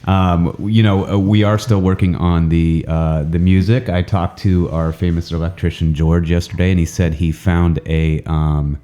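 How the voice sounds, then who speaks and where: A man hosting a podcast in casual chat, his voice deepened by a cold, rambling and joking at his own expense with many ums and uhs. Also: level tone, moderate pace, few pauses, mellow. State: deep, congested voice from a cold. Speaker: podcast host. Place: indoor training center room, several people around a table.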